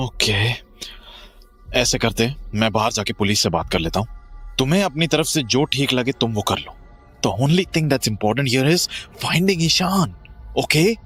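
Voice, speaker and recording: A man's voice: mid-range voice, lively intonation, slow, fairly bright tone, husky, full character voice. A man acts as a level-headed, dismissive husband in a horror audio drama. His medium voice moves up and down a lot as he reasons, a little exasperated, over music. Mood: reassuring. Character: rational, dismissive husband calming his frightened wife.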